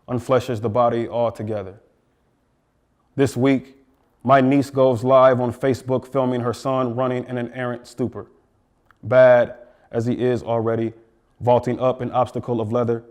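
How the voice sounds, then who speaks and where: American guy doing a poetry reading, deliberate and incantatory, middle pitched voice. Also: a little mellow. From Flint, Michigan, US. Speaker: poet.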